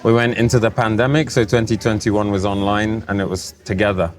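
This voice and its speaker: A man from Poland who grew up speaking Polish, talking as a guest in a casual interview at a design festival, explaining things in a relaxed, measured way. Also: lowish voice. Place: festival venue, recorded live.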